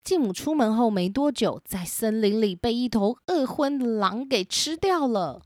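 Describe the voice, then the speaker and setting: A woman narrating a children's story as host in a gentle, clear storytelling manner, recorded with simple home equipment. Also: fairly high pitch, expressive, moderate pace, dry recording, clean studio sound. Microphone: simple home recording setup.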